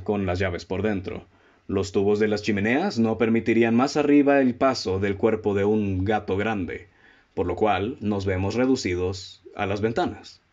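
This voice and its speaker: A Mexican man acting in a student radio drama as a self-assured, methodical amateur detective who speaks in a formal, deliberate, theatrical way. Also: fairly low pitch, darkish tone, close to an everyday voice. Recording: dull, muffled lo-fi audio.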